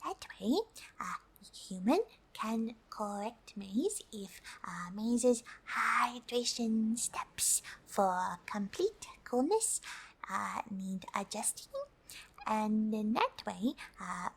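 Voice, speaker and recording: A woman voicing a friendly, excitable female alien in a soft, breathy whispered ASMR roleplay, speaking with hesitant, naive formality. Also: medium pitch, takes it slowly, full character voice. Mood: earnest, helpful. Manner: hesitant, formal, third-person self-reference with frequent uh.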